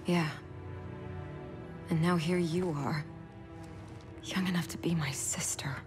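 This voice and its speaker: A woman's voice: fairly low pitch, fairly even intonation, slow, music in the background. A woman playing a tough, weary, plain-spoken military commander in a video game. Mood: somber, hesitant.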